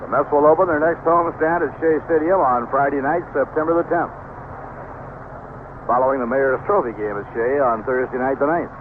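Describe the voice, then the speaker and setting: A man's voice: mid-range voice, fairly quick, narrow, tinny audio. A man with an American accent calling a baseball game play by play in live radio commentary. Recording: noisy, band-limited old radio broadcast.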